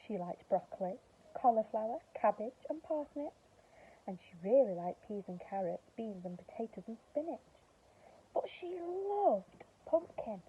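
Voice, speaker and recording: A woman's voice: on the high side, big pitch swings, a little slow, husky, dull audio. A woman speaking to young children as a teacher and story reader, explaining simply, with questions and emphasis. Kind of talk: speaking to young children, reading a picture book.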